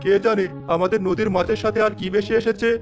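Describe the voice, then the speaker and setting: Casting: male actor for rural fisherman sidekick in animated folklore, high-pitched, speedy lines. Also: fast talker, over music, close to an everyday voice. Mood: puzzled, worried. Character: village fisherman, easygoing, puzzled companion. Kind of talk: animated folk tale character acting.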